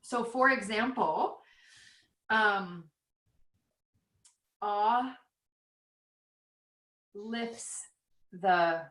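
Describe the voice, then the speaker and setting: A woman's voice: medium pitch, very slow, long pauses, fairly bright tone. Rambling, reflective woman in an echoey room. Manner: rambling, reflective, self-questioning. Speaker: author. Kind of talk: casual conversation over a call. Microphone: video call. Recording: roomy echo.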